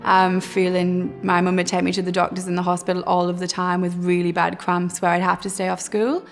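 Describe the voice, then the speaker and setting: A woman's voice: lowish voice, monotone, brisk. A woman, telling her own story frankly and encouraging others. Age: 30s. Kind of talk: personal testimony interview. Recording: roomy studio reverb with music.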